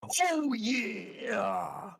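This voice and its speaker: A man voicing a crazy, boastful macho pro wrestler in a gravelly, cartoonish growl, grunting and calling people brother. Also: high voice, very animated, drawn-out words, fairly bright tone, exaggerated voice. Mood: hyped up. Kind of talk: cartoonish wrestler character acting.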